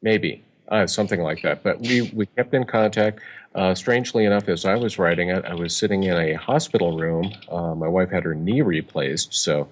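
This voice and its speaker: A man's voice: low-pitched, moderate pace, slight background noise. A middle-aged man, a guest author on a remote call, telling his story in a rambling way with many fillers. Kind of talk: casual interview chat.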